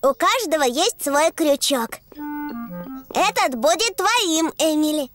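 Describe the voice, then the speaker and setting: Very high-pitched, cheeky little piglet girl. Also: expressive, takes it slowly, fairly bright tone, faint music behind, exaggerated voice. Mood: helpful, eager. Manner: childlike, bossy and teasing. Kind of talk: dubbed preschool cartoon acting.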